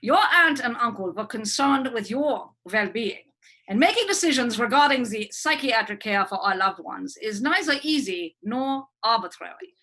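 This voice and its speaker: A woman's voice: fairly high pitch, expressive, moderate pace, sharp tone, full character voice, poor audio. A woman playing a smug, clinical psychiatrist. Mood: cold. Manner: condescending, clinical, hesitant fillers. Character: smug, cold female hospital psychiatrist. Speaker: actor in a livestream script reading.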